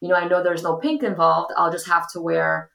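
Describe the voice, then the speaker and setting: A young woman talks in a low-pitched, gravelly voice, chatty and full of ums and you-knows. She speaks casually as a podcast guest, and her voice rings a little in an echoey room. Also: steady tone, brisk, fairly bright tone. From Portugal. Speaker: guest, influencer. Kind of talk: casual interview talk.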